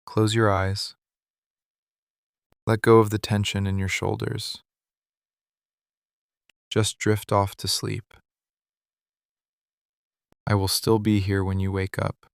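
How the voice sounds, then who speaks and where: A man playing a possessive, tender mafia boss in a boyfriend ASMR roleplay, speaking soothingly and deliberately in short, hushed phrases. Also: on the low side, gravelly, no echo, studio quality. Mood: soothing, protective. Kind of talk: boyfriend ASMR roleplay, slow intimate monologue.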